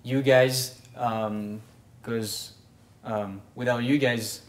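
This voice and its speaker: A man in his thirties with a French accent, a bakery owner talking haltingly in a studio interview. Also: mid-range voice, fairly even intonation. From France. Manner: hesitant, many fillers and restarts. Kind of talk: casual interview. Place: small studio set.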